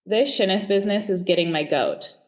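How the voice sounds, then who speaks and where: A man's voice: high-pitched, brisk, natural voice. Man acting a clipped, grumbling wartime airman. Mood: exasperated. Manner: clipped diary phrasing. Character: grumbling WWII air force serviceman reading his wartime diary. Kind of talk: dramatised diary reading.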